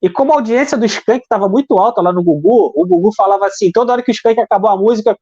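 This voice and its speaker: A man's voice: sits high, fast, dark tone, breathy edge. A Brazilian man giving heated, rambling political commentary on a live stream, often repeating words as he searches for them. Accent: Brazilian Portuguese. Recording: dull lo-fi stream audio.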